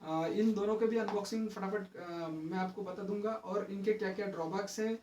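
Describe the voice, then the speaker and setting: Man, Indian accent, fairly high pitch, soft mellow tone, casual unboxing host, hesitant, instructional. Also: fairly even intonation, normal speed, slight background noise. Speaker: video host and product reviewer.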